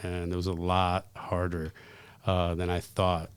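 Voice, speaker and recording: A man in his forties, a pizzeria owner from the United States, telling rambling, animated stories as a podcast guest. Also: deep voice, unhurried, clear, resonant tone, no room sound. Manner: rambling, animated, self-deprecating. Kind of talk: casual interview storytelling.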